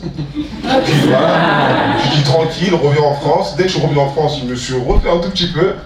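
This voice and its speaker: A man's voice: on the high side, expressive, moderate pace, heavy background noise. A man speaking informally as a guest at a public talk, using slang and repeating phrases for emphasis. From Ivory Coast. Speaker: music producer and entrepreneur, guest speaker. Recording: roomy hall, distant mic, audience murmur.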